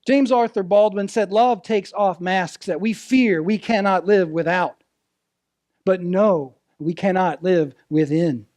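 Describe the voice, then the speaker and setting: High-pitched man preaching a sermon. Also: darkish tone, dry recording. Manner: earnest, rhetorical questions, deliberate dramatic phrasing. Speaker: preacher, ministerial intern. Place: church service, speaking to a congregation.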